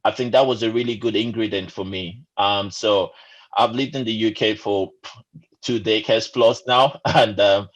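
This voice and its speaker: A man in his forties, originally from Nigeria, answering interview questions earnestly over a remote call, with many fillers and restarts. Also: on the low side. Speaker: podcast guest. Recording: muffled lo-fi remote call audio.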